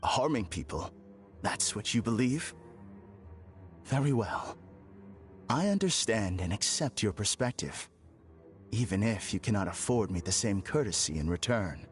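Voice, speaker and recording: An actor playing an unsettlingly calm, formal villain in a game cutscene, speaking in a measured, courteous way. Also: male voice, lowish voice, soft background music. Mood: serenely polite. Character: eerily serene polite man, unsettling villain. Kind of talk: video game cutscene dub.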